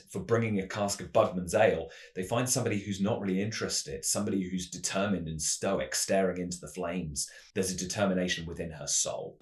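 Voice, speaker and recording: A man with a notably deep voice presents a fantasy roleplaying scenario like a game master advising others. His phrasing is measured and dramatic, in the manner of a storyteller. The recording is roomy, with clear echo around the voice. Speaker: host, game master giving adventure advice.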